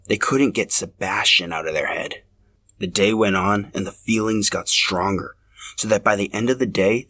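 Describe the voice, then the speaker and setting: A man with a low voice narrates a piece of fiction. He reads from a script in the role of a podcast host telling a story. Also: fairly bright tone.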